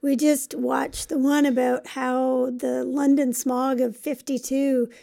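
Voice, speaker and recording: Creaky, high-voiced woman with a cold. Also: little pitch movement, takes it slowly, dark tone. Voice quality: croaky, strained voice. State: has a cold. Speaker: podcast co-host, company co-founder. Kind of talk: casual podcast chat.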